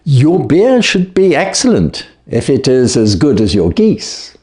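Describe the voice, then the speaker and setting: A man voicing a brisk, shrewd, self-assured Victorian detective in a storybook, speaking decisively and articulately. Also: mid-range voice, huge pitch swings, a little mellow, pitched above the performer's own voice. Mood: genial, probing. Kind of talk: storybook character voice.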